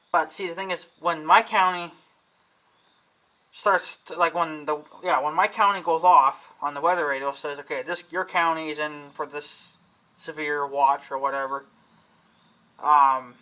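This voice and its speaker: A man's voice: fairly high pitch, sharp tone, rough recording. A man vlogging casually at home, rambling and swearing often as he complains about his equipment. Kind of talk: casual talking to camera about gear.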